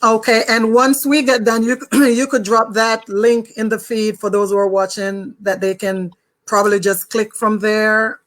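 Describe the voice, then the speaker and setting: A woman's voice: mid-range voice, flat delivery, measured pace, a bit bright. A woman with a Jamaican accent, speaking as the host of an online talk show.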